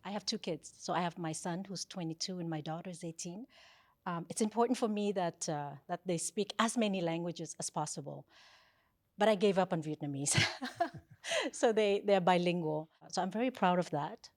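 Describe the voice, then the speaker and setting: A woman's voice: on the low side, moderate pace. A middle-aged woman speaking about her children in an interview, laughing between thoughts, recorded on a stand mic in a curtained studio. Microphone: stand mic close by.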